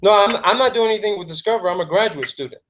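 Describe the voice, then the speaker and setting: Guy calling in w a kinda high voice, stammers a lot, eager questions. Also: rapid-fire, a bit bright. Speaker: caller. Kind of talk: phone conversation.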